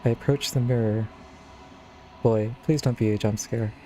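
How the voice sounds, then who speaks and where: A man's voice: medium pitch, steady tone, moderate pace, dark tone, slight background noise. A man giving casual, wry playthrough commentary as a game streamer, with understated reactions.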